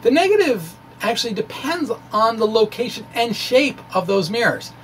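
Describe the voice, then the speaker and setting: Male science blogger, reading his essay aloud with hesitant, thoughtful asides and lively intonation, slight noise behind. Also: very high voice, moderate pace.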